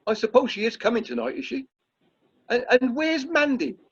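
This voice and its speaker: A man's voice: very high voice, normal speed, gravelly, breathy edge, heavily acted. An amateur actor playing a pompous, irritable middle-aged British drama society chairman in a radio play. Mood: irritated, bossy. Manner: pompous, self-dramatising, exasperated. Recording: muffled, lo-fi video call audio.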